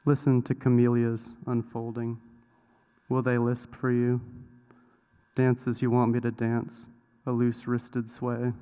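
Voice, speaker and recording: A man reading poetry in measured short phrases with pauses between them. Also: mid-range voice, darkish tone, smooth. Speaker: poet reading own work. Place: reverberant hall at a live public reading. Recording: echoey hall with long reverb.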